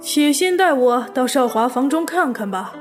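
A woman playing a shrewd, composed young gentleman detective in a period audio drama, with wry delivery. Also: sits high, animated, moderate pace. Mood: cool, aloof. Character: cool, shrewd young gentleman sleuth of ancient China. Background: faint music.

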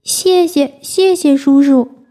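A woman voicing a tearful, polite little baby dinosaur in a high childish voice. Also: takes it slowly, dark tone, faint music behind. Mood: happy.

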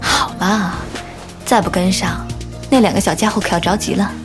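A woman playing a caring, resolute professional woman in love in a Chinese audio drama, gently firm and reassuring. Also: medium pitch, fairly even intonation, normal speed, natural voice. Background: prominent background music.

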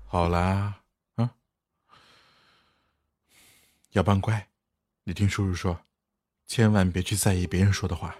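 A man acting in a radio drama as a kind, gentle father figure, speaking patiently and soothingly. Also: deep voice, steady tone, normal speed, no echo.

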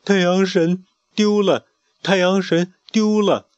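A man voicing a chubby, jumpy young chicken boy in a bedtime storybook, high and frantic. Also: fairly even intonation, fairly quick, no room sound, lo-fi sound, natural voice. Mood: frightened.

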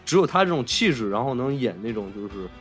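A man's voice: mid-range voice, big pitch swings, fast talker, a bit bright. Guy cohost, medium voice, talks movies in broken little phrases, faint music under it. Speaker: podcast co-host. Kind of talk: casual film discussion.

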